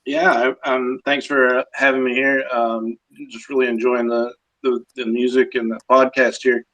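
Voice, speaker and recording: Even-toned man chatting casually. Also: medium pitch, normal speed, rough recording. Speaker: visual artist calling into a live show. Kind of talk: casual chat.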